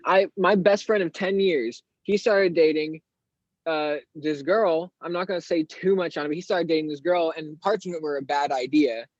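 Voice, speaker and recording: An eighteen-year-old man with a higher-pitched voice, a guest on a podcast, talking candidly and rambling with lots of fillers. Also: fairly even intonation, moderate pace, no echo, muffled recording. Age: teens. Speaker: guest artist. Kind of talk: casual interview chat.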